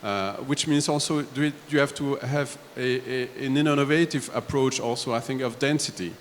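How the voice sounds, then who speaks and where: A man from Belgium on a discussion panel, laying out his points one step at a time in a halting way. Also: mid-range voice. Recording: echoey hall, faint background noise.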